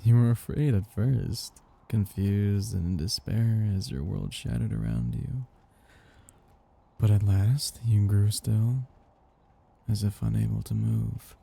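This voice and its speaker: A man voicing a seductive, possessive vampire master in an ASMR roleplay, breathy and gravelly, speaking in a slow, gently domineering, teasing way. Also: fairly low pitch, animated, exaggerated voice. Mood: tender, possessive. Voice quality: breathy, gravelly, often dropping into whispers. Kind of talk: ASMR roleplay, intimate scripted monologue.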